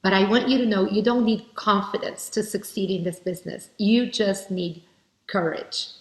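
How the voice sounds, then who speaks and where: A woman's voice: medium pitch, normal speed. A woman from Brazil giving an earnest, heartfelt motivational keynote speech. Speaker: keynote speaker. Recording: roomy echo.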